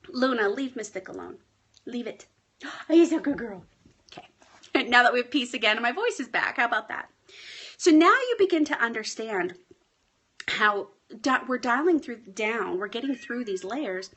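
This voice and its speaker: Hoarse chatty woman life coach. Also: a little high, fairly bright tone. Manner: chatty, often asks "right?". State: hoarse, clearing her throat. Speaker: life coach hosting a live stream. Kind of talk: casual coaching talk to viewers. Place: at home with pets.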